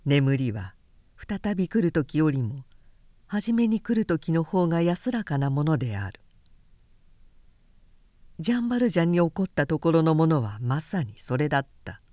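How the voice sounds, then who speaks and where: A woman narrating a literary novel in audiobook style, with measured, deliberate phrasing and pauses between phrases. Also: deep voice, very animated, dark tone, dry recording, clean studio sound. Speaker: narrator reading a novel aloud.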